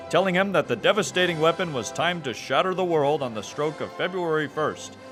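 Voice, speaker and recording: A man narrating an old-style radio serial in a dramatic, booming announcer manner.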